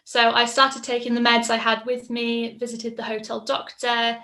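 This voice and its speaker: A woman's voice: a little high, completely flat delivery, moderate pace, sharp tone, slight background noise. A woman, telling her personal story in a casual, reflective way, with frequent ums. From Mid Wales. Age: 20s. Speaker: patient sharing her story. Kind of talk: personal testimonial.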